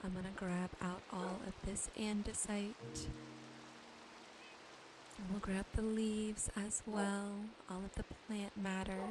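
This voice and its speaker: A woman gently narrating her gameplay as a sleep ASMR host, soothing and unhurried. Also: medium pitch, very noisy. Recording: music behind the voice. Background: soft music, game rain and animal sounds.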